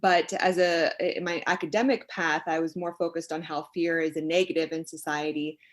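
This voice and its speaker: A middle-aged woman speaks as an expert guest in an interview, her voice a little on the low side. She explains things conversationally and reflectively, feeling her way through phrases with small halts. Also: level tone, moderate pace. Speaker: expert guest, sociologist and author.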